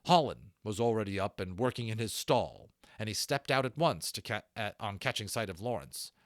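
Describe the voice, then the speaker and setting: A man narrating an audiobook as an expressive storyteller, dropping into casual asides now and then. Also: on the low side, normal speed, no room sound, studio quality.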